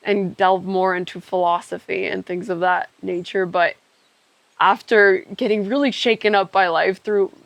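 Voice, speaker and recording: A woman's voice: mid-range voice, steady tone, normal speed, creaky, dry recording. Young woman, mid-range voice, candid reflective podcast conversation, trails into asides, dry sound. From Ecuador. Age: young adult. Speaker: podcast co-host telling their own story.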